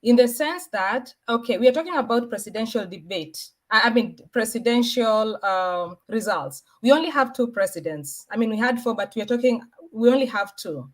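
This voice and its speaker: A woman's voice: fairly high pitch, expressive, moderate pace, few pauses. A woman with a Kenyan accent speaking as a panelist in a political debate, arguing earnestly and repeating her points.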